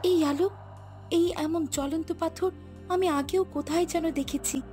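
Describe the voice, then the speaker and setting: A woman's voice: very high-pitched, fairly even intonation, moderate pace, warm tone, faint music behind. A woman playing an anxious but determined young winged fairy princess in a cartoon. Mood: puzzled, fearful. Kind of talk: dramatic animated fairy tale acting.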